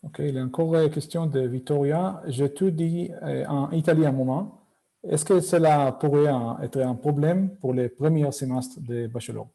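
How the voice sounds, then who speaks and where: A man's voice: fairly high pitch, normal speed, vocal fry, mellow. A man moderating an online Q&A, reading questions out plainly. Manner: plain, reads questions aloud. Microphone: video call.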